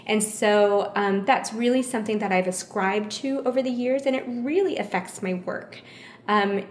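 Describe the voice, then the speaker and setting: A woman, born in the United States with Vietnamese as her first language, answering interview questions in a thoughtful, measured way and repeating phrases for emphasis. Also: mid-range voice, roomy sound, a little hiss or hum. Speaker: interview guest.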